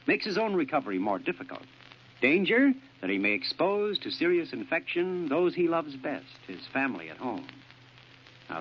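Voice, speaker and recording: Earnest male announcer, lively intonation, persuasive, vintage radio, muffled recording with faint noise. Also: mid-range voice. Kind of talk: 1940s public service announcement.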